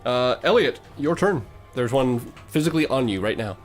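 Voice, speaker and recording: A man's voice: medium pitch, animated, normal speed. A man acting as game master, narrating combat in a tabletop game in a matter-of-fact, slightly hesitant way. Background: music.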